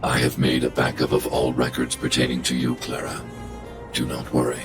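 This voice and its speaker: A man's voice: extremely low pitch, completely flat delivery, moderate pace, music in the background. A man playing a huge, stoic robot guardian in a video game, speaking in formal, clipped, mechanical report phrases. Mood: flat, protective.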